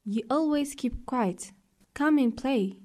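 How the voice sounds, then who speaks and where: Lady reading as a teasing little farm cat, mellow, storybook style. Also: high-pitched, slow pace, slight background noise. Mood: coaxing. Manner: coaxing, teasing. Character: cheeky friendly farm cat.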